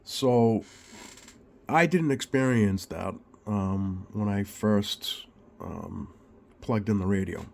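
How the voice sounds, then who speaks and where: A man with a slightly scratchy voice, getting over a cold, talks informally through a repair demonstration in a hesitant, unhurried way, thinking aloud. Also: on the low side. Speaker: radio repair technician and video host. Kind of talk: informal bench demonstration vlog. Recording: clearly audible background noise.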